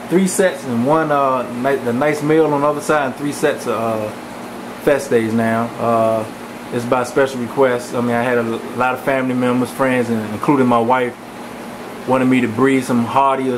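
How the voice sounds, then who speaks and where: Male, casual rambling home-video narrator, mid-pitched, steady fan hum behind him. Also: moderate pace. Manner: relaxed, rambling, with many fillers. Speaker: fish breeder giving a tour. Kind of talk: casual walk-through narration. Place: home aquarium room with running fans. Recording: camcorder audio with fan hum.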